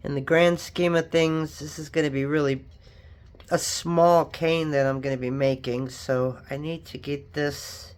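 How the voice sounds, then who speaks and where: Crafter talking while working, a bit stuffed up, rambly and chatty, middle pitch. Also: steady tone, unhurried, smooth. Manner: chatty and rambling, with frequent asides. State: sniffly, stuffed up from allergies or a cold. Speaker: craft vlogger. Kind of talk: casual tutorial chat while working.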